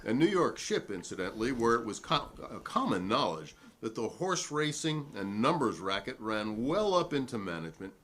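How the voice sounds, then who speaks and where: A man's voice: medium pitch, very animated, normal speed, few pauses, fairly bright tone. A man reading the part of a combative working-class salesman testifying at a 1950s hearing, formal, righteous and long-winded. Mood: righteous, indignant. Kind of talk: dramatic reading of a hearing transcript.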